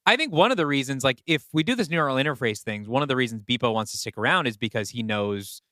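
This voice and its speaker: A man co-hosting a podcast, talking through story ideas casually and reasoning them out in a measured way. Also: mid-range voice, lively intonation, pushed and bright, no echo, studio quality. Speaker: podcast co-host and story writer. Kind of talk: casual brainstorming conversation.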